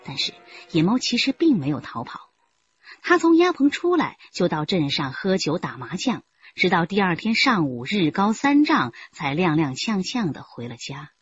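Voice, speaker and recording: A woman giving measured scripted narration for a radio drama. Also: lowish voice, very animated, faint music behind. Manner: measured storytelling. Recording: dull lo-fi radio play audio.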